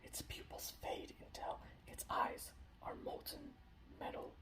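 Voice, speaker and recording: Man whispering ASMR in a roomy space. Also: recorded from a distance. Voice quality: soft, breathy whisper. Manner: repeats words and syllables playfully, halting. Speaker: ASMR creator showing painted miniatures and reading lore.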